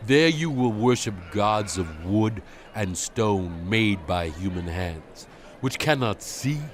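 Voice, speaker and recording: Aged Hebrew prophet, solemn audio-Bible oration, unhurried and measured, stern leader's exhortation, faint ambient noise behind. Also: male voice, lowish voice. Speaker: Bible narrator. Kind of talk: dramatized scripture reading, solemn oration.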